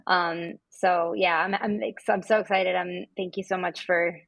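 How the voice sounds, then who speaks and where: A woman's voice: lowish voice, steady tone, measured pace, gravelly, a bit bright, no echo. A woman speaking as a podcast guest, explaining things casually with gushing enthusiasm. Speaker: podcast guest, wellness app representative.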